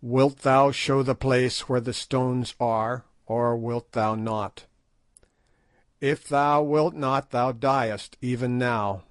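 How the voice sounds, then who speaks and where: Man doing a solemn king, commanding, slow and measured, dry close sound. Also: mid-range voice, steady tone, no echo, natural voice. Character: stern young African king, commanding. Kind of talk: audiobook character voice.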